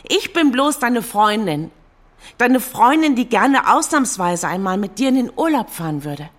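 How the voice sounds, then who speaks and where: Fed-up girlfriend, woman, lively swinging intonation, sarcastic, reproachful, colloquial, natural radio play dialogue. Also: on the high side, measured pace. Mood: weary, hurt. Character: exasperated, sarcastic girlfriend, a schoolteacher.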